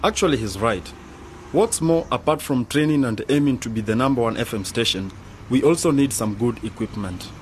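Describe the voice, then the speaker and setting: An actor playing an eager, ambitious young man in a youth club, earnest and excitable, in a radio drama. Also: mid-range voice, fairly quick, a little hiss or hum, lightly acted.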